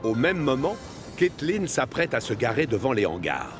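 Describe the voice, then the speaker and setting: A man's voice: mid-range voice, very animated, normal speed. A man reading scripted narration for a children's cartoon. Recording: music behind the voice.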